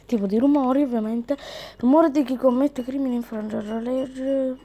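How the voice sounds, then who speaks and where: A woman's voice: sits high, steady tone, moderate pace, warm tone. A woman chatting casually through a game as a video host, reading on-screen text aloud as she comments.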